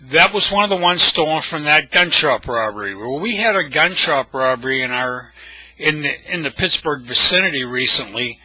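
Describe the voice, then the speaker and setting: Older American man, bright forceful husky voice. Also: a little high, lively intonation, moderate pace, no room sound, poor audio. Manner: blunt, wry, salty-tongued. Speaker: storyteller, video blogger. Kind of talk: casual anecdotal storytelling.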